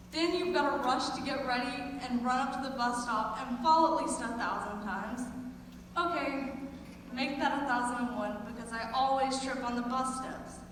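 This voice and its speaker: High-pitched teenage girl in a cavernous echo. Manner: self-deprecating, exaggerating for comic effect. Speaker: high school theater student performer. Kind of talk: scripted comic monologue on stage. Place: large echoey indoor performance space. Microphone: distant camera microphone. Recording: echoey hall from far off, noisy.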